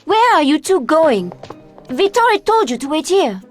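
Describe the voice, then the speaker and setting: Lively, sharp-tongued scornful woman. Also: sits high, moderate pace, soft background music. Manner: cutting, disdainful.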